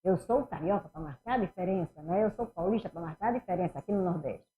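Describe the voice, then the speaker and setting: A middle-aged woman with a Northeastern Brazilian accent, teaching an animated online exam-prep class and talking students through each step. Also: fairly low pitch, fast, a little hiss or hum. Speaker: Portuguese teacher. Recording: somewhat dull lo-fi sound.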